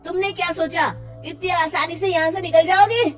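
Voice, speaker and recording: A woman voicing an angry village leader in a cartoon story, loud and indignant as swindlers are confronted. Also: extremely high pitch, fairly even intonation, moderate pace, faint music behind, voice pushed up.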